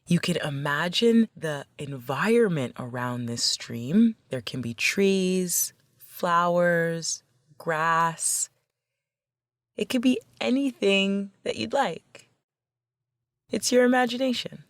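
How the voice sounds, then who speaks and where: A woman leading a guided meditation as a facilitator, speaking in a gentle, measured, instructional way. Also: fairly low pitch, lively intonation, no echo.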